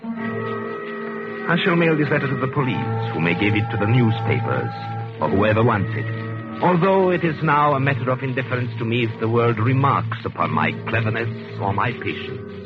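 Vengeful ex-convict husband male actor: deliberate, sinister, widely inflected, on a muffled vintage radio recording. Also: mid-range voice, big pitch swings, over music, lightly acted. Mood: triumphant. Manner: deliberate, menacing, gloating. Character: vengeful, coldly triumphant wronged husband, English ex-convict. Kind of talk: 1940s radio suspense acting.

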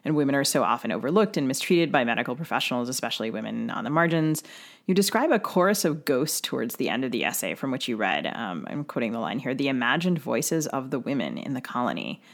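A woman's voice: very deep voice, big pitch swings, fast talker. A woman co-hosting an interview, putting prepared questions in articulate, formal phrasing. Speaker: podcast co-host interviewer.

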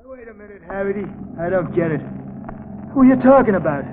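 A man's voice: high-pitched, expressive, a little fast. An actor playing a plain-spoken launch boatman in a 1940s radio mystery, blunt and colloquial. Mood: puzzled, blunt. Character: plain-spoken, matter-of-fact male river launch boatman. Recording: old 1940s radio broadcast, tinny, noisy.

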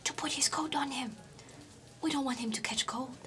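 A woman's voice: high voice, moderate pace, background noise, natural voice. An actress playing a friendly, forward young Chinese woman who is new at an office, in a naturalistic audio drama. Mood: dutiful.

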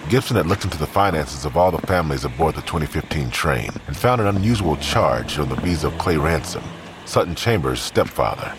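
A man's voice: rumbling low, animated, fairly quick. A man reading scripted narration as the narrator of an audio drama. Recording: clearly noisy background ambience.

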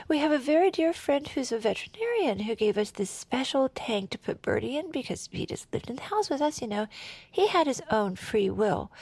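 An actress playing a sentimental, gushing true crime podcast co-host, earnest and effusive, in scripted audio fiction styled as chat. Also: female voice, high voice, a little mellow, no room sound.

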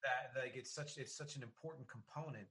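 A man in a conversational interview, talking in an analytical, halting way with emphasis on key words, as a podcast host would. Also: medium pitch, pushed and bright. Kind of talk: conversational interview about music and film.

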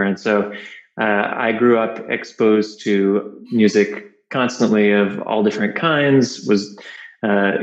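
A man answering interview questions in a measured way, pausing and using fillers as he recalls. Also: on the low side, echoey room, lo-fi sound. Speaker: interview guest. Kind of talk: casual video-call interview.

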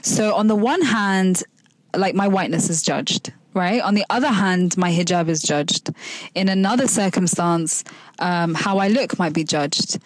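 A woman's voice: on the low side, unhurried, no echo, poor audio. A woman with a London accent talking candidly in a conversation, in an emphatic, colloquial way.

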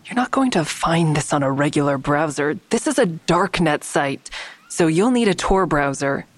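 An actress playing a wry, persistent amateur investigator in an audio drama, speaking in a matter-of-fact, persuasive way. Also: female voice, sits low, lively intonation, moderate pace, dry recording. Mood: persistent, bargaining. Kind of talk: naturalistic audio drama dialogue.

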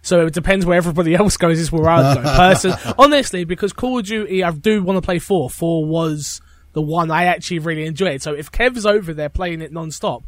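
A man's voice: fairly high pitch, a little fast, no echo. A man chatting casually on a podcast panel in a blunt, informal, argumentative way. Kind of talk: casual chat.

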